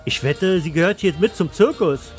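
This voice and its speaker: A man's voice: high-pitched, animated, moderate pace, faint music behind, pitched above the performer's own voice. A man playing a dull, anxious bookkeeper in an audio story, earnest and insistent with a hesitant delivery. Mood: polite, urgent. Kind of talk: first-person audio story.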